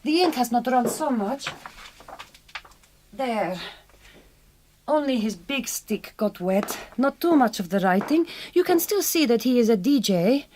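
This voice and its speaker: An actress playing an earnest, naive young migrant farm worker with a Hungarian accent, in a naturalistic radio drama. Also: female voice, a little high, expressive, a little slow, a little mellow, a little hiss or hum. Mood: apologetic, earnest.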